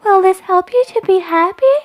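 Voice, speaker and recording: Woman voicing a high wispy childlike phantom. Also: faint music behind. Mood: sweet, coaxing. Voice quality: wispy, soft, quivering, high. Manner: slow, dreamy, sweetly coaxing. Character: eerie childlike phantom voice, dreamy, sweet, wispy, unsettling. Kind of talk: audio storytelling character voice.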